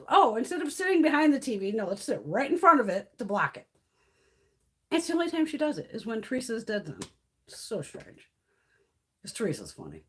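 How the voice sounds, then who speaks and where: A woman's voice: a little high, slightly rough. A middle-aged woman from Connecticut hosting a beauty live stream, telling stories in a casual chat, swearing freely and laughing often, her voice starting to wear out. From Connecticut, USA.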